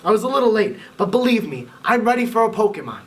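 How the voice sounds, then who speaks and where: A man voicing an excitable young boy trainer in a storybook reading, pitched up high and sounding breathless and pleading. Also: quick pace. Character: eager excitable boy trainer, always rushing. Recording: voice a bit far from the mic.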